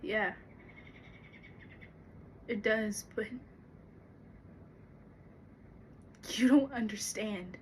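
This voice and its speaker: A woman performing a theatrical monologue as an anxious, fearful young woman, confiding haltingly with dramatic pauses. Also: mid-range voice, a little mellow, slight background noise, natural voice. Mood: hesitant, confiding. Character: anxious young woman confessing a terror of thunderstorms.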